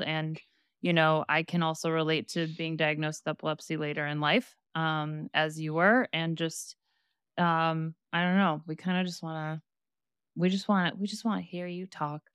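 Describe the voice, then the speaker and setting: Brief: woman podcast co-host, lowish smooth voice, chatty, dry close mic. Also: fairly bright tone, no room sound. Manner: chatty, bubbly, lots of fillers. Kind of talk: casual podcast chat.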